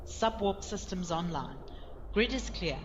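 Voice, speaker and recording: A woman's voice: on the low side, expressive, measured pace. A woman playing a clipped, procedural helm officer calling out status aboard a spaceship in an audio drama. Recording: reverberant, dull, loud engine noise.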